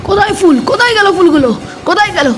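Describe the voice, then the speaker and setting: A woman's voice: very high-pitched, animated, very fast. A woman voicing a jealous, domineering mother-in-law in an amateur doll drama, scolding melodramatically. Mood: outraged, possessive. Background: loud music.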